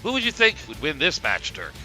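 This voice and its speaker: A man playing an excitable, brash and theatrical wrestling commentator calling a match in a hammy style. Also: on the high side, a little fast, soft background music, full character voice. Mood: amused.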